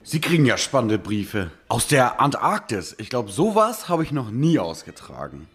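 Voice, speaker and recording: A man's voice: medium pitch, very animated, moderate pace. A man playing a chatty, nosy mail carrier in an amateur school radio play.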